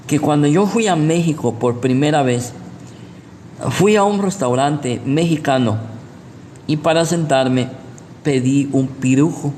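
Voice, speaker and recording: A man's voice: mid-range voice, a little mellow. A man with a Guatemalan accent preaching in a didactic way, repeating his points and checking in with short rhetorical questions. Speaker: pastor, preacher. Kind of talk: sermon, teaching with personal anecdotes. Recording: echoey hall with long reverb, background noise.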